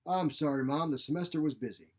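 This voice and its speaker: A man voicing a mild, conciliatory professor son in his sixties, measured, in a short story reading. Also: on the high side, darkish tone, voice pushed up, lightly acted. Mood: apologetic. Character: mild, spineless 62-year-old professor son.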